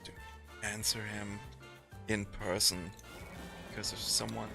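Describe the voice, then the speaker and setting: A man hosting a casual livestream and reading out viewer comments in a halting, hesitant way. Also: lowish voice, flat delivery, gravelly, over music.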